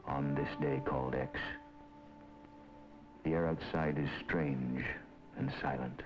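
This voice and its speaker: A man with a very low, deep voice reads documentary narration in a measured, solemn way. The sound is a muffled, lo-fi 1950s film track. A prominent orchestral score plays beneath him. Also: fairly even intonation, over music. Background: film score under the voice.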